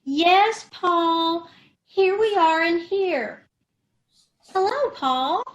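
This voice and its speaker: A woman's voice: very high voice, drawn-out words, lo-fi sound, exaggerated voice. A woman playing a scatterbrained young wife who mangles her sayings, in old-time radio comedy style, from home on a video call. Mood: chirpy. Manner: malapropisms, chirpy and sure of herself. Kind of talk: 1930s radio comedy acting.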